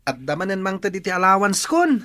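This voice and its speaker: A woman's voice: medium pitch, big pitch swings, brisk, a bit bright. A woman with an Ilocano accent playing an admiring, teasing younger student sibling in a radio drama. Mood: excited, teasing.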